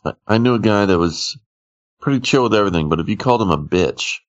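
A man's voice: lowish voice, animated, quick pace, dull audio. A man casually telling a story on a podcast in a laid-back way. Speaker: podcast co-host. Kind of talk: casual chat.

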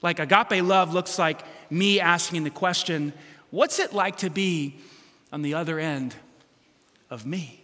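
Bright-voiced man preaching in an echoing hall. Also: on the high side, unhurried. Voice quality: loud voice. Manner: animated, self-deprecating storytelling with sudden loud bursts. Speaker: pastor. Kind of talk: sermon. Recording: long hall reverb.

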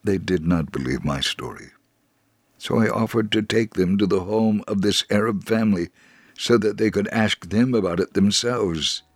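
A man reading a scripted first-person story as a narrator, in a measured, deliberate way. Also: lowish voice, no echo.

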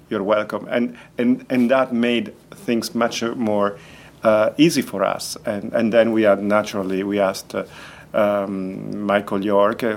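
A man with a fairly low, creaky voice talks as a filmmaker being interviewed. He explains things conversationally and haltingly, often repeating a word before finishing the phrase. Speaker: documentary filmmaker, interview guest.

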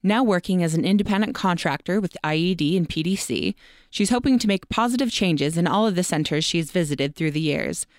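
A woman hosting a podcast in a conversational interview style, with a smooth, clear voice. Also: lowish voice, lively intonation, a little fast, dry recording, studio quality.

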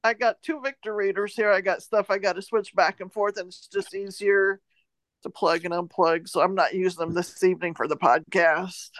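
A woman's voice: mid-range voice, steady tone, normal speed, no echo, poor audio. Chatty woman, close dry sound. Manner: chatty, laughs often. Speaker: podcast co-host. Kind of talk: casual chat.

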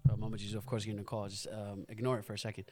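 A young man hosting a casual podcast, talking in an earnest, animated way with slang and bursts of laughter. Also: lowish voice, very fast, faint music behind. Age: young adult. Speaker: podcast host.

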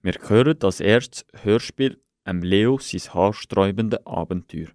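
Low-voiced Swiss German radio host, dry sound. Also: male voice, unhurried, darkish tone, no echo. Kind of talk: scripted radio presentation.

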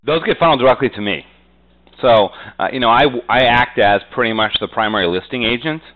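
A man's voice: mid-range voice, a little fast, pushed and bright, poor audio. A man being interviewed as a real estate agent, talking conversationally and confidently, with frequent verbal tags. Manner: frequently punctuates talk with "you know".

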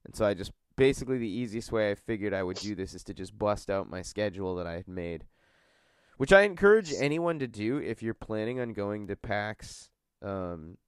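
A congested man with a low, smooth voice, talking in a loose, rambling podcast chat. He fills his sentences with likes and ums. The sound is dry and close, with no room echo. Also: lively intonation, normal speed. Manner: rambling, filler-heavy storytelling. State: congested from a cold. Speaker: podcast host.

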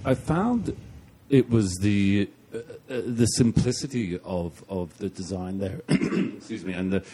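A man from Australia hosting a podcast, asking long questions in a halting way with many repeated words. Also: lowish voice, animated, noisy background. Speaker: podcast host. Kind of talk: casual interview.

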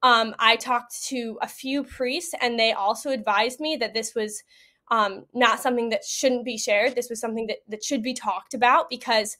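Young woman, high voice, interview guest, earnest, conversational, peppered with "you know". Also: fairly even intonation, moderate pace, sharp tone. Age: young adult. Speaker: interview guest, World Youth Day attendee. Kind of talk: conversational eyewitness account in an interview.

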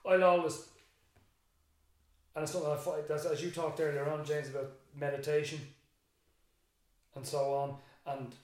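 A man's voice: on the high side, level tone, normal speed, pauses often. An Irish man, a secondary school teacher and interview guest, thinking aloud in a reflective, rambling way with frequent restarts. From Ireland. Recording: very echoey room.

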